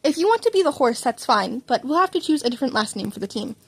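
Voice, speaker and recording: A brisk female teacher acting as referee, played by a woman in a storybook reading. Her voice is fairly high, official and explanatory. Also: very animated, slightly rough, no room sound, exaggerated voice. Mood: businesslike.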